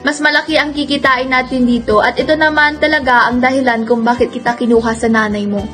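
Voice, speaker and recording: Radio drama villainess: actress playing cold, greedy bar madam, high voice, curt orders, faint music behind. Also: female voice, steady tone, a little fast, a bit bright. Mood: stern. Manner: bossy, curt. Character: cold, domineering, greedy female bar owner and guardian.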